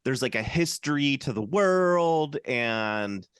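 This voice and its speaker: A man's voice: mid-range voice, animated, slow, a bit bright, smooth, no room sound. A man co-hosting a podcast in casual chat, joking and rambling with many restarts. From United States. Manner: rambling, jokey, lots of restarts and fillers. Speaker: podcast co-host.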